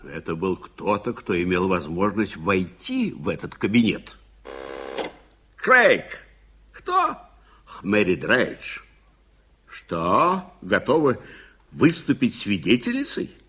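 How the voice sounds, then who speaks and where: A cranky impatient gent in an old radio play, lowish husky voice, blustering and firing questions. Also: male voice, wildly swooping pitch, slow, long pauses, full character voice. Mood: irritated, blustering. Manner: sharp questioning, exasperated outbursts. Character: impatient, irascible gentleman demanding answers, gruff and blustering. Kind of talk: 1980s Soviet radio drama acting.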